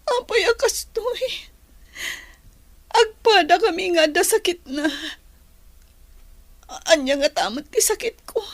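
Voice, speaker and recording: A woman's voice: very high-pitched, full character voice. An actress playing a distressed, ailing young woman in a radio drama, plaintive and tearful, with a Filipino accent. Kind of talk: Ilocano radio drama acting.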